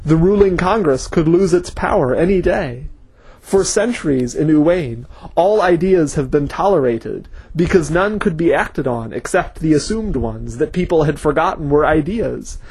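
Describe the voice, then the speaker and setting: Man voicing a grim male scholar, intense, brooding, husky, fairly high voice. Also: animated, moderate pace, darkish tone, dry recording, full character voice, low-quality recording.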